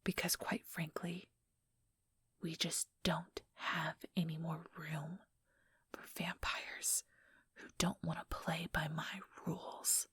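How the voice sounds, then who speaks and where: A very breathy, whispering female vampire acted by a woman in an ASMR role-play. She speaks slowly and condescendingly, mock-sweet on the surface and coldly menacing beneath. The sound is close and dry. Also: low-pitched, flat delivery, fairly bright tone, no room sound, heavily acted. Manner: slow, taunting, mock-sweet. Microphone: close, dry microphone.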